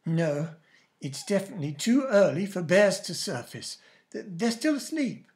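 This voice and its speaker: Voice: mid-range voice, very animated, normal speed, a little mellow. An actor playing Gerald's part as an elderly, wry and fretful husband in a radio comedy. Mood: puzzled. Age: older.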